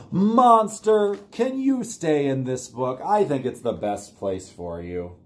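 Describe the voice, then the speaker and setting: A middle-aged American man reading a picture book aloud to young children in an animated, encouraging way. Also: mid-range voice, takes it slowly, slight background noise. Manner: animated, exclaiming, simple encouraging talk to little ones. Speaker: children's librarian reading online storytime. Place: small plain room indoors.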